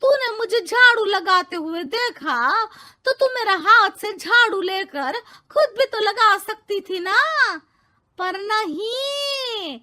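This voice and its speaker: A rustic older mother-in-law from a cartoon moral tale. Her voice is extremely high and piercingly bright, and she speaks unhurriedly. She is suspicious and grumbling, full of exclamations and sarcasm. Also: lively intonation, takes it slowly, heavily acted. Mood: sarcastic, grumbling. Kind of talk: animated moral-story cartoon voice acting.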